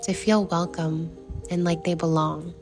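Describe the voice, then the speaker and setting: A woman's voice: fairly low pitch, fairly even intonation, measured pace, over music. A young woman host narrating a reflective travel vlog voice-over in an earnest, heartfelt way. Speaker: travel vlogger and trip host.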